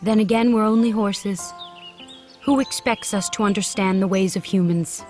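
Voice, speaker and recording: A woman playing a gentle, wise mother horse in an animated storybook, tender and earnest. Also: mid-range voice, normal speed, faint music behind, lightly acted. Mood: grave, pensive.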